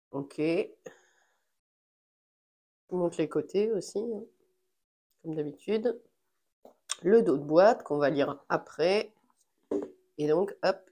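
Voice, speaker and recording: A woman with a slightly stuffy voice talks through a board game unboxing. She speaks slowly and sits a little low in pitch. She pauses often and fills the gaps with small fillers. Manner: slow, relaxed, thinking aloud with fillers. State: has a cold. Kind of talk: casual unboxing chat.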